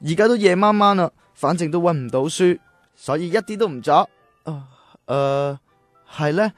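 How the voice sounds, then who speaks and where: Children's radio play: humble, earnest young male scholar played by an actor, courteous, easily puzzled, medium pitch, dry sound. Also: normal speed, no echo, soft background music, natural voice. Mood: polite, curious. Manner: earnest, polite, slightly bewildered. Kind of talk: children's radio drama acting.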